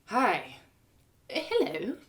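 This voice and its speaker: Woman playing an earnest, animated young runaway girl. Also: medium pitch, drawn-out words, voice pushed up, full character voice. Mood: awkward. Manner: earnest, flustered, hesitant. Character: spirited, awkward young runaway girl, Allie.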